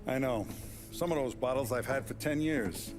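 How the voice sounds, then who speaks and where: An actor playing a calm, authoritative mob boss who gives his crew orders in a game cutscene. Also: medium pitch, over music, close to an everyday voice. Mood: commanding. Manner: commanding, measured. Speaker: crime boss. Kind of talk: 1930s gangster game dialogue.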